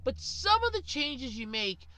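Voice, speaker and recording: High, animated, exasperated woman ranting. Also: measured pace, dry recording, low-quality recording. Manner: exasperated, sarcastic, emphatic. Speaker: video ranter. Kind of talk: opinionated rant to viewers.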